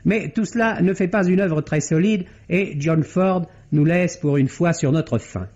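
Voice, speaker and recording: Higher-pitched Frenchman, dull vintage radio recording. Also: a little slow, a little mellow. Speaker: radio film critic. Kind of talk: scripted 1950s French radio film review.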